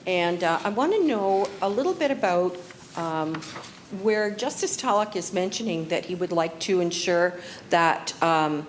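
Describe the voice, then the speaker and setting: A woman's voice: on the low side, lively intonation, a little slow, a little hiss or hum. A woman from the audience speaking for affected families, asking an earnest, direct question. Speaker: audience member, family representative. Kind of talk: press conference question.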